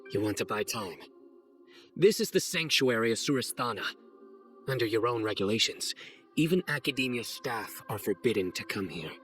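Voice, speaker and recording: An actor playing a stern, composed general and judge in a video game, speaking in a measured, solemn, accusing manner. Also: male voice, mid-range voice, expressive, a bit bright, soft background music, lightly acted. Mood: cold, accusing. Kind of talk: dramatic video game acting.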